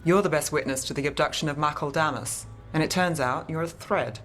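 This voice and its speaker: A woman's voice: sits low, brisk, a bit bright. Dry no-nonsense lady detective in a game, short lines, music going behind her. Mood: dry, guarded. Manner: terse, dry, matter-of-fact. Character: cool, dry female detective on a case. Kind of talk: video game acting.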